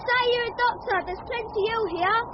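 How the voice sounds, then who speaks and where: An actress playing a sullen, distrustful young street boy with a shrill, child-like voice in an old radio drama. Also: steady tone, moderate pace. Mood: sullen, urgent. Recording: muffled noisy old radio recording.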